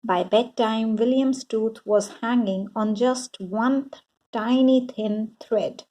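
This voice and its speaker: A woman reading a children's bedtime story aloud in a deliberate, expressive way for young listeners. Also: on the high side.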